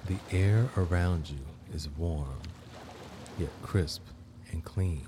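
A man hosting a guided meditation, narrating in measured, deliberate phrases with long pauses. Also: low-pitched, background noise. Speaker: guided meditation podcast host.

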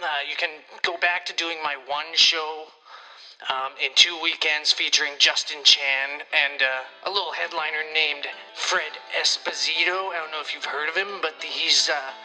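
A man acting out a pompous small-town comedy promoter on a phone message. His voice is highish and very sharp, and he rambles haltingly with petty menace over faint music. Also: poor audio.

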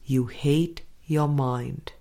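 Slow, low-voiced woman reading softly. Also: fairly even intonation, vocal fry. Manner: slow, deliberate, soft-spoken with quiet trailing endings. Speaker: reader of a spiritual book. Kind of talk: measured audiobook-style reading with commentary.

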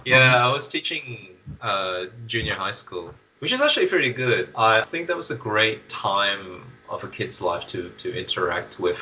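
A man's voice: on the low side, very animated, normal speed, hardly any pauses, pushed and bright, distant mic, muffled recording, slight background noise. A man and former teacher speaking candidly in a casual interview, with self-mocking humour.

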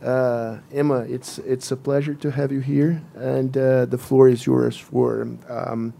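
A man with a Brazilian Portuguese accent introducing a speaker in halting speech full of restarts. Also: medium pitch, flat delivery, creaky, warm tone, slight background noise. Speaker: conference moderator.

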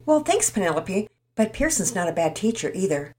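Brief: woman voicing a high, eager boy, impatient and excitable, in a children's story. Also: a little fast. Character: eager, starstruck young boy.

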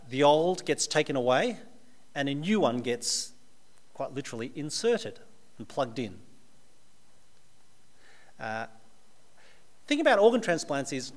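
A man with an Australian accent, preaching a sermon to a live audience in a conversational way, with dry humorous asides. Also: medium pitch.

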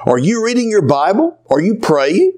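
A man voicing a cheerful, devout elderly woman, folksy and gently scolding. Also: high voice, huge pitch swings, moderate pace, voice pushed up, heavily acted.

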